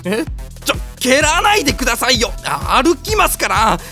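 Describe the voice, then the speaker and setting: A reluctant young hero played by a man in a comedy audio drama, timid and whimpering. His stammering voice jumps widely in pitch, with music underneath. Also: very animated, moderate pace, bright, forceful tone, heavily acted. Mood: meek, bewildered.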